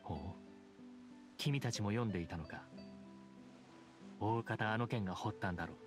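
An actor playing a dignified, aloof judge in a game, speaking in a formal, measured, reserved way. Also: male voice, on the low side, music in the background, close to an everyday voice. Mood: grave, measured. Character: solemn, dignified supreme judge, an aloof grown man. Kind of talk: Japanese game voice acting.

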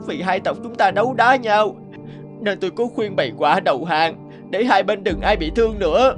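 A man voicing a small, meek young male crow in an animated fable, speaking in a pleading, humble way. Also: high voice, moderate pace, music in the background.

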